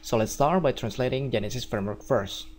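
A man from Indonesia with an Indonesian accent, walking viewers through a software tutorial step by step. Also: mid-range voice, fairly quick. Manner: steady step-by-step explaining. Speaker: theme developer. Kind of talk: screencast tutorial.

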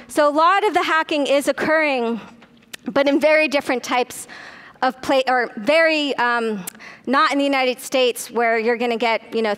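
Woman at a podium mic giving a keynote, high clear voice, quick storytelling with lots of ums. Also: fairly bright tone. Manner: brisk, storytelling delivery with frequent "um" and "uh". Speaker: keynote speaker. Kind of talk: conference keynote lecture. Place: large conference hall stage.

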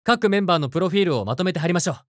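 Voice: medium pitch, lively intonation, racing, dry recording, studio quality, close to an everyday voice. An actor voicing a confident, terse teenage boy strategist in an anime voice drama, with self-assured delivery.

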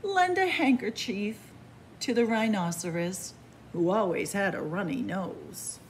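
A woman reading a picture book aloud expressively, with deliberate phrasing and dramatic pauses. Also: mid-range voice, slight background noise. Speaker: librarian reading picture books aloud to children. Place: indoors in a library room with bookshelves.

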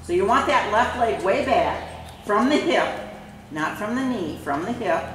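A woman's voice: medium pitch, lively intonation, unhurried, recorded from a distance. A woman coaching a horse rider live, calling out short commands and often repeating them. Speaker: horse riding instructor. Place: large indoor riding arena. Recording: very echoey, reverberant hall with faint noise.

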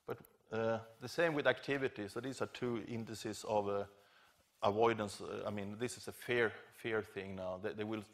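A middle-aged man giving an academic conference lecture, explaining informally and haltingly with self-corrections. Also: fairly low pitch, fairly even intonation. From Scandinavia. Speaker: professor, keynote lecturer. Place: large lecture theatre stage at a podium.

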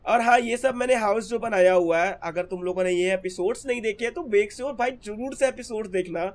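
A hoarse young man with a sore throat, chatting casually as he narrates his gameplay to his viewers. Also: high-pitched, moderate pace, slight background noise. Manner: chatty, keeps calling viewers brother. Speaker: gaming channel host.

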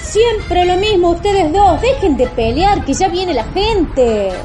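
An exasperated young member of a squabbling Argentine family played by a woman in a radio play. The voice is high and takes its time, with faint music behind. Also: lively intonation, slow pace, exaggerated voice.

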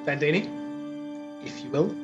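A man's voice: fairly high pitch, expressive, normal speed, close to an everyday voice. A man in his thirties playing a courteous, slightly hesitant prince in a comic pantomime. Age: 30s. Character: polite, awkwardly regal young prince. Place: living room, video call. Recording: dull sound, music behind.

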